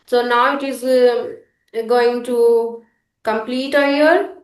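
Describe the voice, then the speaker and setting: A woman's voice: a little high, steady tone, takes it slowly. A woman from India with an Indian English accent, speaking in an English practice conversation, hesitant with many fillers and restarts. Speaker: English learner guest. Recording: echoey room.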